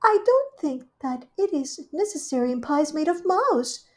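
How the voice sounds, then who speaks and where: A woman voicing a prim, genteel female cat hostess in a storybook reading, high and polite. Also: big pitch swings, unhurried, darkish tone.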